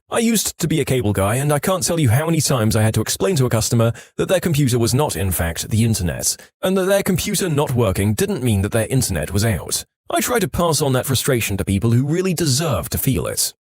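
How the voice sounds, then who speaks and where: A man reading online stories as a scripted podcast narration, with an animated storytelling delivery, close on the microphone. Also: mid-range voice, fast talker, few pauses, no room sound.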